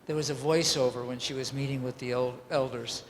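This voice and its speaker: A man's voice: mid-range voice, fairly even intonation, a little fast. A man speaking from the audience, putting a considered question in a public Q&A. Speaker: audience member asking a question. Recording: echoey hall, faint noise.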